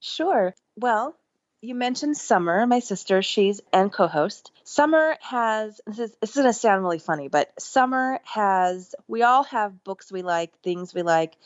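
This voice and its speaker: A middle-aged woman, a podcast guest, telling a story in an enthusiastic, rambling way, with lively jumps in pitch. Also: a little slow, no room sound. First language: English. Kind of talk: casual storytelling interview. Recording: dull lo-fi remote line.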